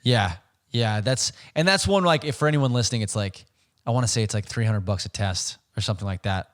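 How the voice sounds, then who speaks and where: A man hosting a podcast interview, easygoing, offering short affirmations and relaxed comments. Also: lowish voice, brisk. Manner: easygoing, brief affirmations while listening. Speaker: podcast host.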